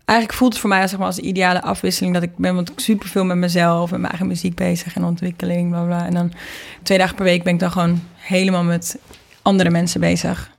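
A woman's voice: lowish voice, little pitch movement, quick pace, gravelly, few pauses. Chatty young Netherlands Dutch woman. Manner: informal, chatty, self-interrupting. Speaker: interview guest, singer and singing teacher. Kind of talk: casual conversational interview.

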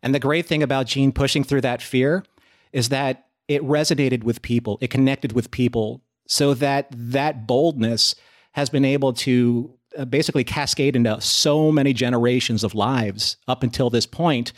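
A man with a medium-pitched voice talks as a guest on a podcast. He is thoughtful and motivational in a casual way, with a dry studio-like sound. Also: fairly even intonation, moderate pace, no room sound.

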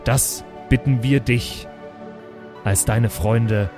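A man's voice: mid-range voice, fairly even intonation, drawn-out words, music in the background. A man improvising in a role-playing game in a playful, theatrical manner. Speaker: player in a tabletop role-playing podcast. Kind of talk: improvised role-play talk.

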